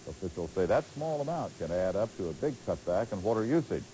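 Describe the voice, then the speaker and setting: Mellow, lowish American male news anchor. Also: very animated, brisk, rough recording. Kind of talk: scripted newscast. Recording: hissy late-1980s TV broadcast tape.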